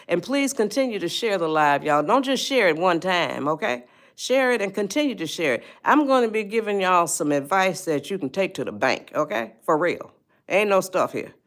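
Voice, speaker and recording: Slow-talking woman in her eighties, folksy livestream host who calls her viewers y'all. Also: on the low side. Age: 80s. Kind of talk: casual livestream talk.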